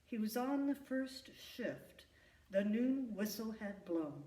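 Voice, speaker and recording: A woman reading a rhyming children's story aloud in an expressive, emphatic storytelling manner. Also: a little high, takes it slowly. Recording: echoey room, distant from the mic.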